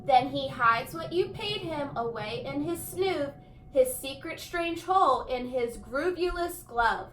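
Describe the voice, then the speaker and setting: Female teacher, high and very lively, expressive picture-book read-aloud, roomy sound. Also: unhurried, slight background noise. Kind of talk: expressive children's storybook reading. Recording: echoey room.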